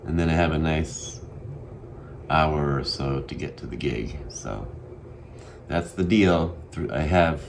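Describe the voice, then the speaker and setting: A man with an extremely deep voice and a Boston accent talks through his day in a casual video diary. He speaks haltingly and candidly, full of ums and trailing pauses. A faint hum of room ambience sits behind him. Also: gravelly. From Massachusetts. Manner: halting, many ums, soft-spoken and candid. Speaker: vlogger.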